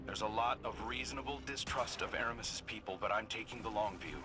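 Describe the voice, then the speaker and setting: An actor playing a principled young warrior leader, briefing a soldier over comms in a calm, firm, businesslike way. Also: male voice, fairly low pitch, fairly quick, over music, natural voice. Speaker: mission commander on comms. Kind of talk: mission radio briefing. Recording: game audio with clear background noise.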